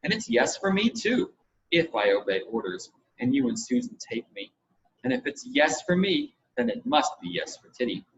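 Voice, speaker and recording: A man reading the part of an excited, eager young boy in a children's storybook. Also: mid-range voice, moderate pace, dull audio.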